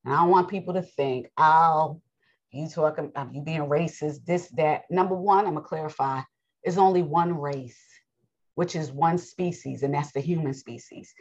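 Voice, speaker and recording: A woman teaching a scripture lesson in an emphatic, preachy way, her voice raspy and strained, often clearing her throat. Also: deep voice, moderate pace, highs cut off. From United States. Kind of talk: bible lesson with commentary.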